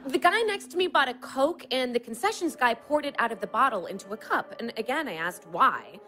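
An actress playing a candid, wistful, playful young American woman in a naturalistic audio play, confiding and animated. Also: on the high side, brisk, soft background music. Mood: animated storytelling.

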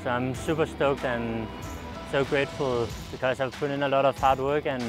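A man's voice: mid-range voice, steady tone, moderate pace, poor audio. A young man from Denmark, an athlete giving a casual interview. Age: young adult. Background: background music.

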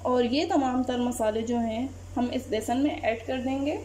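A woman, narrating a home cooking recipe step by step in a calm voice-over as channel host. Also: a little high, measured pace, slight background noise.

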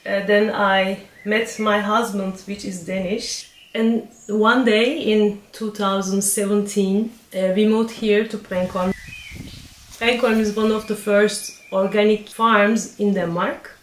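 A woman farmer from Turkey with a Turkish accent, speaking thoughtfully in a casual interview and pausing with fillers. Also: medium pitch, level tone, roomy sound, slight background noise, rough recording.

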